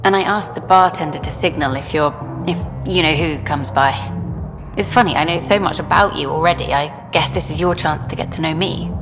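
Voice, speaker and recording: Worldly female journalist: lowish voice, intimate and teasing, music behind her in a game scene. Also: fairly quick. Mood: playful, intimate. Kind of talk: video game dialogue acting. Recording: hall reverb with music behind.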